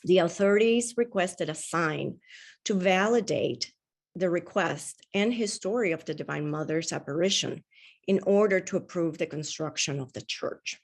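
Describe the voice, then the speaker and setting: Woman telling a story. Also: on the low side. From Puerto Rico. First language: Spanish. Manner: warm, unhurried storytelling with frequent "uh". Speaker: guest speaker. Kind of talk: storytelling talk at a spiritual service.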